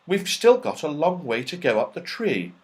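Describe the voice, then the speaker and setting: A man voicing a sensible, anxious young girl in a children's storybook, earnest and expressive. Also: fairly high pitch, normal speed, voice pushed up. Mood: brisk.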